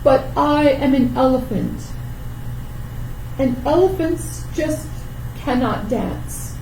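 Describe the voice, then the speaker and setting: Storybook elephant, played by a woman: clumsy, earnest, quick to frustration, dragging out words, echoey room. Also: sits high, a little mellow. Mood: frustrated, despairing. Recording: roomy, distant mic, faint noise.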